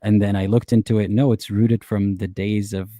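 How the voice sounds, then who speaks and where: A man hosting a call-in show, speaking calmly with brief interjections. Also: lowish voice, normal speed, no room sound, studio quality. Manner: calm, brief interjections and affirmations. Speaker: host. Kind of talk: casual call-in conversation.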